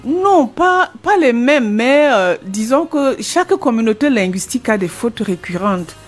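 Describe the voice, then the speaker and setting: A woman's voice: on the high side, sing-song, unhurried, soft background music. A woman in her sixties with a Cameroonian French accent, a retired language teacher answering interview questions earnestly, often restarting her phrases. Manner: earnest, explanatory, with frequent restarts.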